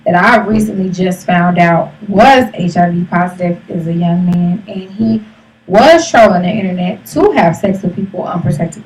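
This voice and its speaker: A woman's voice: fairly low pitch, normal speed, darkish tone. A woman in her thirties hosting a live streamed talk show with blunt, brash, slangy and profane casual banter. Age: 30s. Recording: noisy, distant live stream mic.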